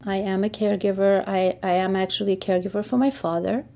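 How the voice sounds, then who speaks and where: A woman in her forties from Iran talks candidly about caring for her father. Her voice is gravelly and mellow, staying in a narrow, even pitch. She pauses and restarts as she searches for words. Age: 40s. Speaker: interviewee, caregiver for her father.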